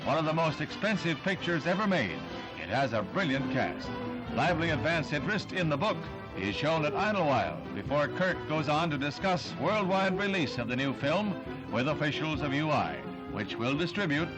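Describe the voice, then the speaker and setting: A man's voice: a little high, very animated, moderate pace, low-quality recording. A man narrating a 1960s newsreel in a formal, declamatory announcer's delivery, reading from a script. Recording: vintage newsreel soundtrack with music.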